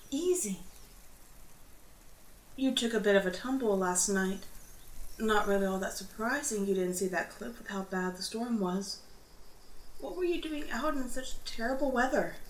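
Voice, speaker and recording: A woman voicing a gently teasing, motherly drider, a spider woman of the forest, in an ASMR roleplay. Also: on the high side. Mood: soothing. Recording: roomy echo, audible background noise.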